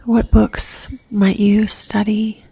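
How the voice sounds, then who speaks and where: A woman leading a guided religious meditation in a soft, near-whispered voice, gentle and calm, leaving long pauses. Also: medium pitch, vocal fry, slightly rough, low-quality recording.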